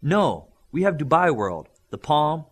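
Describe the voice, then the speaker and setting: A man's voice: fairly high pitch, sing-song, a little slow, a bit bright, pitched above the performer's own voice, heavily acted. A man playing an eager young host. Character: eager young man showing off his home city.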